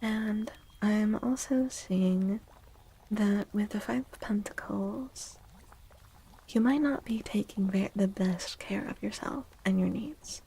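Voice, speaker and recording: A woman speaking softly in a breathy, often whispered ASMR style, gently and soothingly, as she gives a tarot reading. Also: mid-range voice. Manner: slow, gentle, soothing, deliberate with long pauses.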